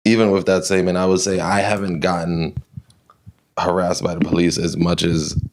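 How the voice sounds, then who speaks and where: A man's voice: deep voice, little pitch movement, moderate pace, a little mellow. A man from New York, a guest in a casual interview, retelling personal stories with lots of fillers and restarts.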